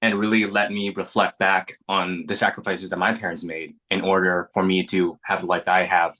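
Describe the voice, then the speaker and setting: Young adult man, first language Mandarin, very low bright voice; earnest interviewee, reflective and halting. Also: little pitch movement. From Canada. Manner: reflective, earnest, frequent restarts and repeated words. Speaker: actor being interviewed. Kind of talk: casual interview chat.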